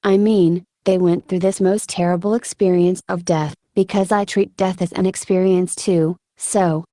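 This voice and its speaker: A woman giving reflective interview answers in a halting, thoughtful way with frequent filler words. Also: fairly low pitch, steady tone, warm tone, no room sound, clean studio sound. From Ukraine. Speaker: military combat medic being interviewed.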